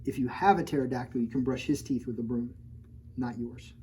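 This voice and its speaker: A woman's voice: very deep voice, steady tone, brisk, warm tone, slight background noise. A woman librarian reading a picture book aloud to children, stopping often for playful, teasing remarks about the pictures. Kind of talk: storytime read-aloud with chatty asides.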